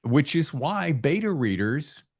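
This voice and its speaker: A man's voice: on the high side, sing-song, slow, darkish tone. A man hosting a casual chat, emphatic and given to acting out imagined reactions. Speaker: podcast host.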